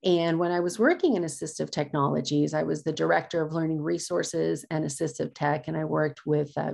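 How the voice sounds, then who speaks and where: Brief: Spanish-native woman from California, low gravelly voice, halting award acceptance talk. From California, USA. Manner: hesitant, many ums and uhs. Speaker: award recipient, assistant professor. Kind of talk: personal acceptance speech.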